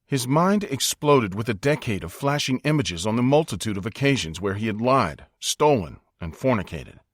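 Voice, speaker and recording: A male audiobook narrator with an American accent reads dramatic scripted prose. His delivery is measured and suspenseful, with lively pitch movement, and the sound is dry and close. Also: no echo.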